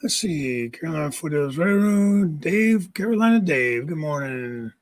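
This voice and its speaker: A man with a raspy voice hosting a casual livestream from home, greeting viewers by name and chatting. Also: fairly high pitch, animated, a little slow. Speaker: livestream host.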